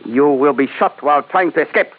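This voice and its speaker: An actor playing a greedy, coldly menacing Chinese army colonel in an old radio drama, with a put-on Chinese accent and stilted, mock-courteous broken English. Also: male voice, on the high side, brisk, soft background music, close to an everyday voice. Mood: mocking menace. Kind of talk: 1940s-50s radio drama acting. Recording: tinny old radio recording.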